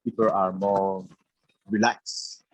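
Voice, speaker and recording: A man from Switzerland, a native French speaker with a French accent, answering interview questions casually and haltingly with constant verbal tags. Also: low-pitched, flat delivery, no echo, poor audio. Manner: halting, repeats "you know" constantly. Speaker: interview guest, businessman.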